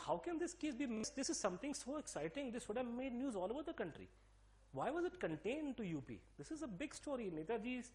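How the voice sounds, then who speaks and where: Middle-aged Delhi-accented man, quick-fire emphatic explainer, higher-pitched voice, lots of restarts. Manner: rapid, emphatic, many fillers and restarts. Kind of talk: interview-style explanation.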